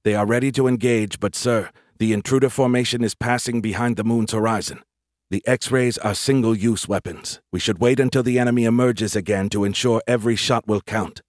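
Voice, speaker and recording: A man's voice: lowish voice, fairly even intonation, fairly quick, dry recording, natural voice. A formal military officer, read by a male narrator, careful and respectful toward his superior. The audiobook voice is recorded dry and close. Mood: urgent, respectful. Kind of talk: audiobook character voice.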